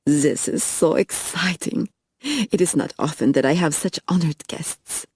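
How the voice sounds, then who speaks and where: A woman's voice: sits low, lively intonation, a little mellow, slightly rough, dry recording, clean studio sound, full character voice. A woman voicing a plump, fussy male French vampire with a French accent, speaking in a mincing, theatrical way. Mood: silky menace. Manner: mincing, theatrical, drawn-out delivery. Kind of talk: audiobook character voice.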